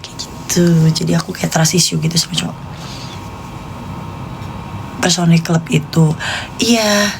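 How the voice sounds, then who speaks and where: A woman's voice: on the low side, steady tone, measured pace, vocal fry, pauses often, noisy background. A woman in her late twenties with a Jakartan Indonesian accent, chatting casually and confidingly to live stream viewers in informal slang. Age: late 20s.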